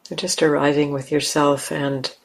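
A woman leading a guided meditation as a teacher, speaking gently in simple, unhurried phrases with long pauses. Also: deep voice, flat delivery, creaky, rough recording.

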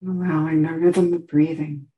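A woman teaching a guided meditation, giving gentle instructions with long pauses between short phrases. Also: deep voice, flat delivery, highs cut off.

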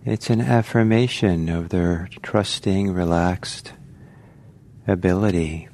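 A man with a low voice guides a meditation. He speaks very slowly and gently, leaving long pauses between short instructions. There is faint noise behind him. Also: fairly even intonation. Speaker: meditation teacher.

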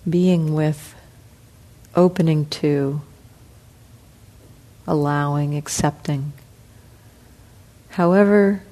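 A woman teacher leading a guided meditation, speaking softly and calmly with long pauses. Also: low voice. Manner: slow, soft, calm, with long pauses.

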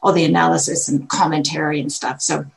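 A woman dharma teacher speaking in an online class, scholarly and animated as she talks about a text she loves. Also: sits low, fairly quick, vocal fry. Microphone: video call.

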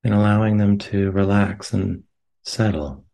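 A man with a deep voice guides a meditation. He speaks very slowly and gently, in short phrases. Long pauses separate each instruction, giving an unhurried delivery. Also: fairly even intonation, vocal fry. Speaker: meditation teacher. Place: meditation center.

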